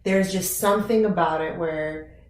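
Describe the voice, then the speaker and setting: A woman's voice: on the low side, normal speed. Emphatic woman podcaster, roomy sound. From Iran. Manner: questioning, emphatic, repeats words. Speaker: podcast co-host. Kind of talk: casual conversation.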